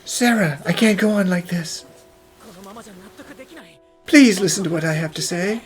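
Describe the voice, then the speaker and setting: A woman's voice: lowish voice, measured pace, soft background music, natural voice. A woman voicing an earnest, stubborn, lovelorn young man who pleads, in a cartoon fan dub. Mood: insistent. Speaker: voice actor in amateur cartoon dub.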